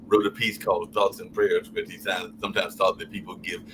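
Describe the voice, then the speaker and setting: A man's voice: low voice, level tone, brisk. A man on a live call-in podcast, talking in long rambling stretches and often trailing off with "along those lines". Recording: noisy stream audio.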